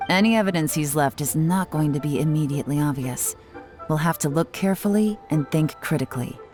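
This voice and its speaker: A woman's voice: deep voice, brisk, close to an everyday voice. A woman playing a cool, shrewd female secret agent in a video game, speaking in a composed, calculating, wry way. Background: faint game music.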